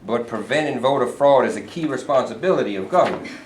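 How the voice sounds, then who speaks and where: A man plays a sly state governor with a mid-range voice, smooth and patronizing, always dodging the question. It sounds like a live staged reading in an echoey room, with faint hiss behind it. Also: mid-range voice, animated, quick pace, lightly acted. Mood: evasive. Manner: evasive, patronizing, mock-genial.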